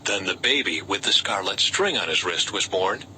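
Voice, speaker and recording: Very bright-voiced man reading scripture. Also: low-pitched, animated, moderate pace, poor audio. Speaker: audiobook-style scripture reader. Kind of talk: scripted narration of a Bible story. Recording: faint background noise.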